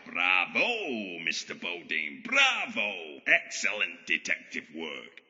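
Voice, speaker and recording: Sharp, gloating Yorkshire villain, booming reverb. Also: male voice, wildly swooping pitch, slow, full character voice. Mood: scornful. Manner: sneering, mock-genteel, foul-mouthed. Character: deranged gloating Yorkshire villain with delusions of godhood. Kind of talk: theatrical villain monologue in audio drama. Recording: muffled, long booming hall reverb.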